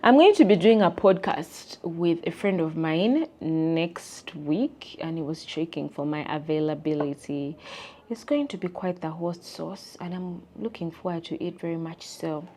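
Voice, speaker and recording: A young woman with a Ugandan English accent, ranting animatedly to camera in a casual vlog and mimicking people in squeaky voices. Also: measured pace, a little mellow. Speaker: vlogger. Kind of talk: casual chat rant to camera.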